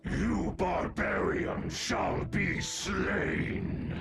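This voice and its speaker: A man voicing a merciless ancient war golem in a video game, gravelly and solemn, proclaiming in halting archaic speech. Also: sits high, very animated, full character voice. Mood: menacing. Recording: buried under very loud game music.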